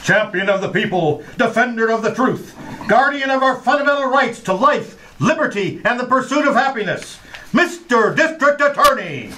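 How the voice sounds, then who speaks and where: Guy doing old timey radio announcer, grand and dramatic, high voice, swoops around a lot. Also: lively intonation, normal speed, bright, forceful tone, a little hiss or hum, heavily acted, poor audio.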